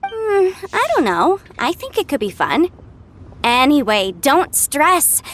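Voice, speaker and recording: A woman's voice: sits high, huge pitch swings, slow, fairly bright tone, a little hiss or hum, full character voice. A woman voicing an easygoing, cheerful, reassuring young legal expert in a video game. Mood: breezy, encouraging. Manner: breezy, reassuring, knowledgeable. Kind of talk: animated video game voice acting.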